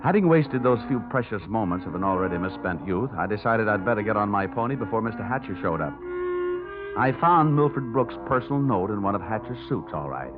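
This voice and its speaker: A man's voice: lowish voice, animated, a little fast, close to an everyday voice. An actor playing a wry, wisecracking insurance investigator who narrates his case in vintage radio noir style. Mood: wry, sardonic. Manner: sardonic, wisecracking. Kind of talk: 1940s-50s radio noir narration and acting. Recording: tinny vintage radio recording, music behind.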